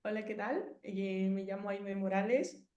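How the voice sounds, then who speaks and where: A woman's voice: medium pitch, steady tone, normal speed, a little mellow, roomy sound, highs cut off. A Mexican woman in her thirties with a Mexican Spanish accent, chatting casually as an interviewee and rambling with many fillers and restarts. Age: 30s.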